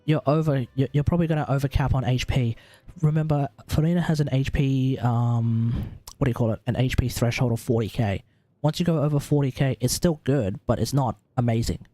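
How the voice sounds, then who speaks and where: A man's voice: mid-range voice, brisk, dark tone, no echo. A man with an Australian accent chatting casually as a game livestream host, informal and sweary as he thinks aloud. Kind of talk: casual gaming livestream chat, advising on character builds.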